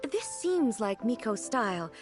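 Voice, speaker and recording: A woman's voice: fairly high pitch, big pitch swings, measured pace, music in the background. A woman playing a dignified, naive almighty ruler in a video game, formal, earnest and questioning. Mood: composed. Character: dignified, naive almighty female ruler, curious and literal-minded. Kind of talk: video game character acting.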